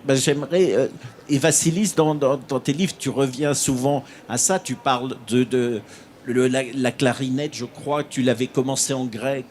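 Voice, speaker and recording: A man's voice: medium pitch, steady tone, moderate pace, darkish tone, a little hiss or hum. Hesitant male conference moderator. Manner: hesitant, fills with "euh".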